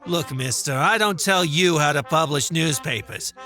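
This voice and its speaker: A man's voice: on the high side, normal speed, sharp tone, faint music behind. A man playing a firm, cautious police captain in a comic dub, curt and authoritative. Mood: firm, annoyed.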